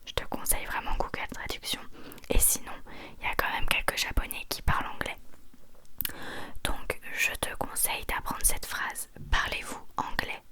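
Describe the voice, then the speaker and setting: Lady doing asmr teacher roleplay, all whispered, bright sharp sound, soft and patient. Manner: soft, patient, encouraging, repeats phrases slowly. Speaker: ASMR creator playing a Japanese teacher.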